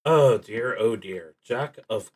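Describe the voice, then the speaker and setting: A man streaming a solo roleplaying game, thinking aloud and reading prompts in a halting, deliberative manner, sometimes murmuring to himself. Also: fairly low pitch, breathy edge.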